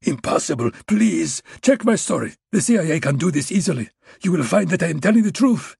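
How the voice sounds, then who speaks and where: A man's voice: medium pitch, moderate pace, creaky, darkish tone, slightly rough, no echo, studio quality, pitched above the performer's own voice. A man voicing a frightened male Russian agent with a thick Russian accent, pleading. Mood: panicked pleading.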